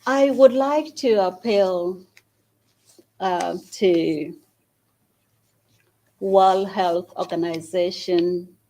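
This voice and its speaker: Slow, earnest woman from Uganda. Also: on the low side, warm tone, low-quality recording. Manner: slow, earnest appeal with long pauses. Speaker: charity founder. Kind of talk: event speech. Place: event hall at a university.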